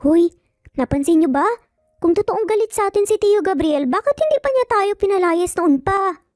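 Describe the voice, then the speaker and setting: Woman voicing a high-pitched, earnest little kid. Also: lively intonation, normal speed, a little mellow, no room sound, clean studio sound, faint music behind. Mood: earnest, wondering. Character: six-year-old triplet children, curious and anxious. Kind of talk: Tagalog audio story dialogue.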